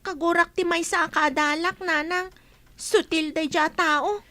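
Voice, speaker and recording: A woman's voice: very high voice, fairly even intonation, measured pace, pushed and bright, no echo, heavily acted. A woman playing a fretful schoolgirl in a comedy radio drama, plaintive and whining. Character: fretful schoolgirl complaining to her mother.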